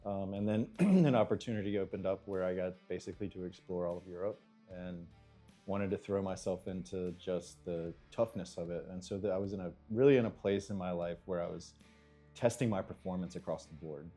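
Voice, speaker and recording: An American man, an engineer, answering interview questions in a thoughtful, halting way and now and then clearing his throat. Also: sits low, dark tone, soft background music. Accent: American English. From United States.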